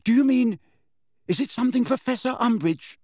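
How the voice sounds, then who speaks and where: A man voicing a timid, concerned teenage schoolgirl with a hushed, hesitant manner in a storybook. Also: very high voice, expressive, normal speed, no room sound, studio quality, pitched above the performer's own voice, full character voice. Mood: tentative.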